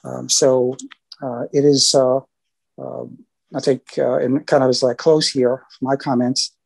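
A man giving the closing remarks of a presentation as a language advisor. He speaks in a flat, even tone and often stops on "uh" and "um" as he gathers his thoughts. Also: mid-range voice, vocal fry, poor audio. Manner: halting, many fillers. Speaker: senior government language advisor.

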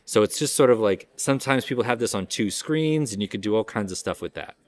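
Male demonstrator, lowish pitch, conversational and explanatory, informal product walkthrough. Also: lively intonation, fast, a little mellow. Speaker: audio software company representative giving a demo.

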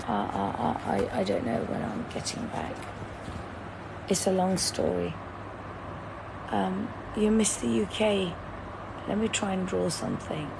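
A hoarse woman in her thirties lies in bed, holding her phone at arm's length and chatting slowly with her livestream viewers. Her voice is gravelly and tired from a sleepless night. A fan hums clearly in the bedroom behind her. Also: mid-range voice, lively intonation. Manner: lying in bed, talking to a phone camera, reading out chat. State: hoarse, lost voice; sleep-deprived. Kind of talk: casual livestream chat with viewers. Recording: fan noise in the background.

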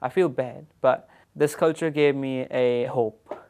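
A young man speaks in a smooth, resonant, mid-pitched voice. He is earnest and personal. It is an outdoor documentary interview. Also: steady tone, measured pace, a little mellow. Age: young adult. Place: outdoors by a field.

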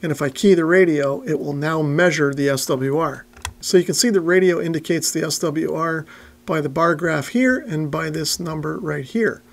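A man's voice: on the high side, normal speed, gravelly. A man giving a plain-spoken, methodical step-by-step walkthrough as a product reviewer. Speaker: radio reviewer and demonstrator.

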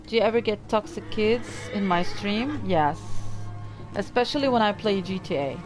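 A woman's voice: medium pitch, moderate pace. A young woman from the Middle East with an Arabic accent, chatting casually with her stream while playing. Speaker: gamer streamer. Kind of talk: casual let's play commentary. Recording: game music underneath.

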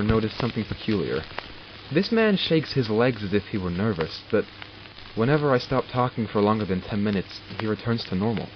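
A man playing a curious, wry doctor in an audio drama, dictating log entries in a measured, formal, dryly sardonic way. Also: fairly low pitch, slight background noise, lightly acted. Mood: clinical, curious. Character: male doctor logging studies of supernatural captives, curious and wry. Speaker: voice actor. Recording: dull lo-fi recording with music behind. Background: music.

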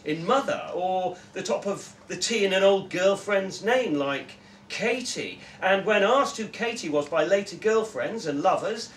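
Casting: young male story reader, live, lively intonation, distant echoey pickup with faint noise. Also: high voice, unhurried. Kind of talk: expressive live short-story reading. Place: small indoor festival room with audience. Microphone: camera a few metres away.

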